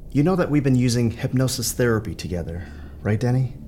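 An actor playing a composed male psychiatrist in an audio drama, speaking in a measured, reassuring and gentle way. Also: mid-range voice, animated, slight background noise, natural voice.

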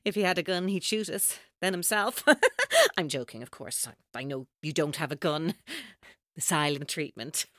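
An actress playing a controlling, taunting female captor in an audio drama, speaking in a deliberate, coaxing way. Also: low voice, a bit bright, no echo, studio quality. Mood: mocking, airy. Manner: deliberate, coaxing, coldly mocking. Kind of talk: audio drama acting, monologue-like.

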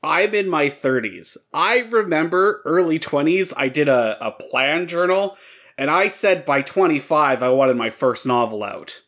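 A man's voice: on the high side, expressive, a little slow, a bit bright. A man of thirty co-hosting a casual podcast, talking in a candid, conversational way. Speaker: podcast co-host.

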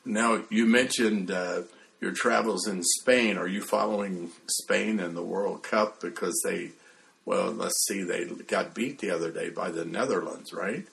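A man interviewing a guest conversationally, phrasing his questions in a halting, deliberate way. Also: low-pitched, creaky, fairly bright tone.

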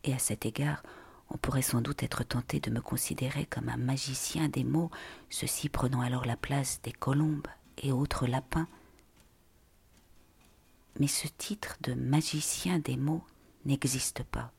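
A literary reading in a half-whispered, very husky voice. The phrasing is measured and slow, with plenty of creak at the edges. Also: mid-range voice, steady tone, darkish tone.

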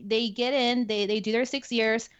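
Woman, Spanish accent, halting speech, many fillers, muffled dry audio. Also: on the high side, steady tone, no room sound. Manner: hesitant, many fillers and restarts. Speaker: guest, security forces NCO. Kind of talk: casual interview talk. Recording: dull lo-fi dry sound.

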